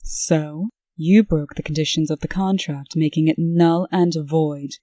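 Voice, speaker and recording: A woman playing a stern, brisk official in a comic dub, speaking with dry, scolding authority. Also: low-pitched, steady tone, dark tone, no echo, highs cut off. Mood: stern, businesslike. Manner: crisp, authoritative, dryly scolding. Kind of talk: scripted comic-dub acting.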